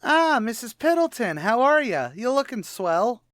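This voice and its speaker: Man as brash tavern manager, wisecracking, mock-genteel, lively swooping delivery, 1940s radio comedy, close dry sound. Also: extremely high pitch, a little slow, no echo, exaggerated voice. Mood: breezy, joking. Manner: streetwise slang mixed with pompous affected words.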